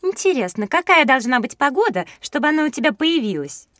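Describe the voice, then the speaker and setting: A woman's voice: sits high, big pitch swings, fairly quick, a bit bright, no echo, clean studio sound. A woman voicing a pert, teasing young schoolgirl, inquisitive and childlike, in a scripted children's audio drama.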